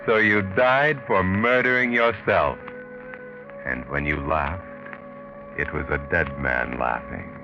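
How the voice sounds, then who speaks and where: An American actor playing a sinister, all-knowing narrator of murder tales, deliberate, dramatic and taunting, in 1940s radio style. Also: male voice, deep voice, steady tone. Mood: ominous. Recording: muffled 1940s radio recording. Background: dramatic music.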